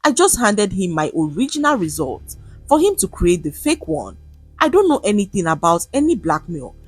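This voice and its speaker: A woman's voice: mid-range voice, very animated, moderate pace, breathy edge, no echo, faint music behind. A woman with a Nigerian accent voicing a nervous, pleading teenage schoolboy who stammers in a story.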